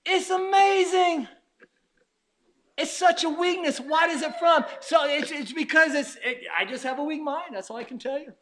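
A man in his fifties preaching a sermon, emphatic, swinging from loud urging to hushed asides and acting out his listeners' objections. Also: very high-pitched, normal speed. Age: 50s. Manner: acts out the doubting listener's objections. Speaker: pastor.

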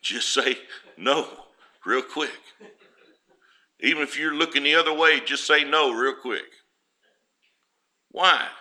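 Loud folksy preacher with a bright voice. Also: male voice, on the high side, slow, long pauses. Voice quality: naturally loud voice. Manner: homespun, storytelling, rises loud for emphasis. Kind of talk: folksy sermon.

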